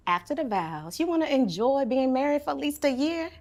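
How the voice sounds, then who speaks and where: A woman's voice: sits high, sing-song, fairly quick, darkish tone. An actress playing a blunt woman friend who delivers salty punchlines in a series scene. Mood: teasing. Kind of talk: TV series dialogue.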